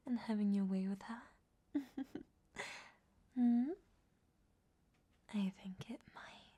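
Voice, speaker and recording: A woman playing a flirtatious, teasing captive vampiress in a breathy, sultry ASMR roleplay whisper. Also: mid-range voice, mellow, heavily acted. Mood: playful, coy.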